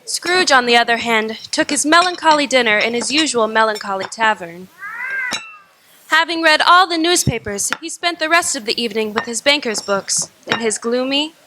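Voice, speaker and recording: A woman's voice: a little high, animated, normal speed. Woman narrator over audible hiss. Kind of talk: dramatic storytelling narration.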